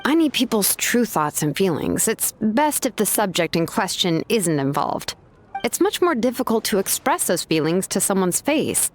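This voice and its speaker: Xilonen, laid-back craftswoman with lively intonation. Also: mid-range voice, moderate pace. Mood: explaining calmly. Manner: casual, hesitant asides, reassuring. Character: laid-back, matter-of-fact young woman name-forging craftswoman. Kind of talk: video game voice acting. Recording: loud music behind the voice. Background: game music.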